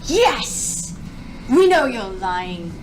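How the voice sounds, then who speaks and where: High, animated woman playing a tabletop game. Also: extremely slow, heavy background noise. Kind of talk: casual in-character game chat. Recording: music underneath.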